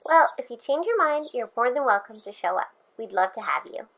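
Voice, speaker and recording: Woman acting a friendly thirties mom, highish voice, lively intonation, chatty, welcoming, audio drama. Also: a little fast, vocal fry, muffled recording, full character voice. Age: 30s.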